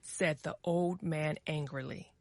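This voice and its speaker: A woman narrating a story as a dramatic reading, with clear phrasing for language learners. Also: sits low, slow, darkish tone, no room sound, studio quality.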